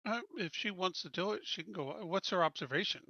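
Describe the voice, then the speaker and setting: Bright, higher-pitched man at a tabletop game. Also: brisk, vocal fry, no echo, highs cut off. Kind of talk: casual chat.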